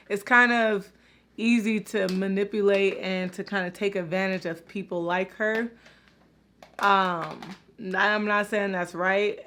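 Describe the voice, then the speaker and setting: A woman's voice: medium pitch, fairly bright tone, slight background noise. A woman hosting a casual reaction video, talking straight to her viewers and filling her speech with "like" and "you know". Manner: chatty, many fillers like "like" and "you know".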